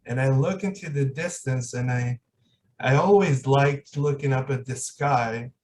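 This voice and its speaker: A man's voice: mid-range voice, a little slow, darkish tone, distant mic, a little hiss or hum. A man hosting a podcast in casual, reflective conversation, hesitant and searching for his words. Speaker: podcast host.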